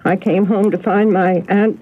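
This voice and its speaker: A woman's voice: mid-range voice, steady tone, moderate pace, slightly rough, close to an everyday voice. Actress doing older genteel lady, fretful and secretive, old radio mystery, thin phone-like sound. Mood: wistful, confiding. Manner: fretful, genteel, dramatic storytelling. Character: agitated, genteel older spinster haunted by family secrets. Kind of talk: radio mystery drama acting. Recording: narrow, noisy old radio broadcast recording.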